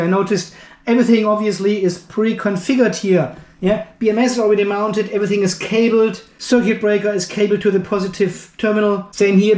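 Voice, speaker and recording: A man's voice: sits high, a little mellow. A man hosting a casual hands-on product review, talking informally and thinking aloud as he handles the item. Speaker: video host, product reviewer. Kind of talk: casual unboxing and review commentary. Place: indoor workshop or garage. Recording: roomy, echoing indoor sound.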